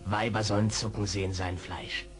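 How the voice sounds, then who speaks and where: An actor playing a brutish Iroquois guard in a radio play, speaking in broken, clipped phrases. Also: male voice, lowish voice, level tone, normal speed, close to an everyday voice. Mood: gloating.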